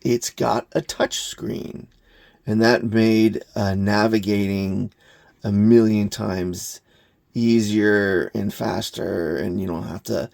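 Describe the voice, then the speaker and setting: A man giving an unscripted hands-on gadget review in a halting, plain-spoken, matter-of-fact way. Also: on the low side, steady tone, creaky, a little mellow, no room sound. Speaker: product reviewer, hobbyist maker.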